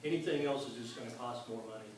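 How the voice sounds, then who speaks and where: A man, a political candidate, answering questions at a town forum in a measured, explanatory way. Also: mid-range voice, background noise. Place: community meeting hall. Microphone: distant microphone. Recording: echoey hall from far off.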